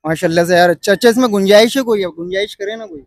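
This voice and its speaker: A man in his thirties from Pakistan with a Karachi Urdu accent, filming a casual walkthrough of an outdoor cattle market on his phone and pressing sellers for lower prices. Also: rapid-fire, no echo, studio quality. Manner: chatty, pushes for a lower price. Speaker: vlogger.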